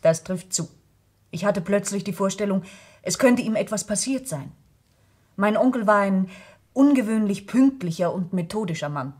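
A woman's voice: mid-range voice, animated, normal speed, a little mellow, husky. An actress playing a proud, guarded young woman under questioning, answering in a terse, formal way in a vintage German radio crime drama.

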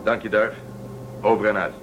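Man playing a brisk starship crewman. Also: on the low side, fairly even intonation, noisy background, lightly acted. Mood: friendly. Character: resourceful male crewman Telsen, caring. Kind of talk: radio drama acting.

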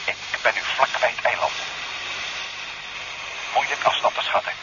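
An actor playing a brave, matter-of-fact undersea diver in a 1970s sci-fi radio drama, reporting in clipped phrases over a radio link. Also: male voice, mid-range voice, unhurried. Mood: tense, focused. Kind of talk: 1970s Dutch science-fiction radio drama acting. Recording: dull, noisy 1970s radio recording.

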